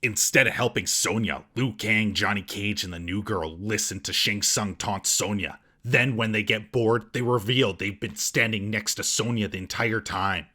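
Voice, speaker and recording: Male review host, lowish husky voice with a bright, punchy edge, sarcastic scripted comedy narration. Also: moderate pace, few pauses. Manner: sarcastic, mocking.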